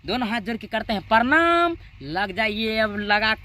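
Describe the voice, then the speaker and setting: A man's voice: high voice, sing-song, normal speed, bright, forceful tone, slight background noise. A man hosting a YouTube channel with comic reaction commentary, clownish and often repeating his words and phrases.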